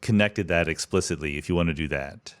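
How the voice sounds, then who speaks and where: A man's voice: low-pitched, a little fast. A man hosting a podcast, interviewing a guest in a conversational way. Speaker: podcast host.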